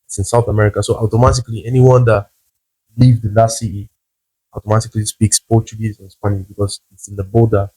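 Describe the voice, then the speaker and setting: A man from the Brazil–Paraguay–Argentina border region, a guest on a podcast, talking in a relaxed, repetitive way. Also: lowish voice, fast, slightly rough, poor audio. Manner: relaxed, repeats phrases, agreeable. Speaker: guest, content creator and language teacher. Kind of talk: casual interview.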